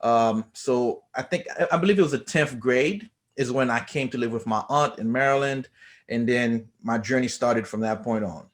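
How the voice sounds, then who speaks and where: Relaxed Haitian-born man telling his story. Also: mid-range voice, moderate pace. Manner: relaxed storytelling with many fillers. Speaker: guest chiropractor. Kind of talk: casual interview.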